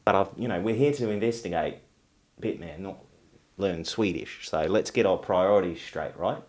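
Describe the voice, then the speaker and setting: A man's voice: sits low, moderate pace, a little mellow, close to an everyday voice. An actor playing a sardonic male amateur investigator who talks casually and swears. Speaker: investigator lead. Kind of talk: mockumentary film acting.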